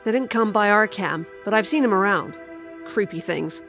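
A woman playing a bold, unfazed senior camper in a horror audio drama, with a brash, expressive delivery. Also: medium pitch, moderate pace, close to an everyday voice. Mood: wary. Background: music.

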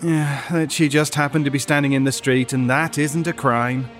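A man's voice: a little high, steady tone, normal speed, a bit bright, faint music behind, lightly acted. An actor playing a supportive, concerned male shop colleague in a naturalistic audio drama, helpful and conciliatory.

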